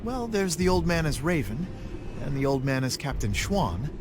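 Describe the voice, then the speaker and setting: A man playing an earnest, honorable young knight in a video game, speaking in a measured, formal way. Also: on the high side, very animated, over music. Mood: pensive. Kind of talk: video game dub acting.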